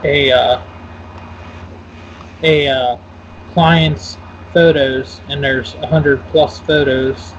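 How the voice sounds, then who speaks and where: A man hosting a casual online interview, loose and rambling, with fillers and self-corrections. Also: on the high side. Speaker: host and interviewer. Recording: dull lo-fi audio with faint noise.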